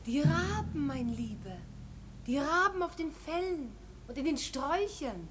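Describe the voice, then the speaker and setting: A woman's voice: high-pitched, big pitch swings, a little slow, slight background noise. An actress playing an airy, wistful lady who exclaims dreamily in a radio drama. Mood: enraptured. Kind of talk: German radio drama acting.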